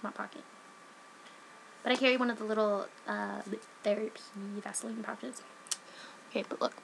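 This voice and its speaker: A woman's voice: mid-range voice, fairly even intonation, normal speed, vocal fry, fairly bright tone, a little hiss or hum, rough recording. A teenage girl rambling hyperactively in a casual home webcam vlog, sniffly from a cold and jumping between topics. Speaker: vlogger.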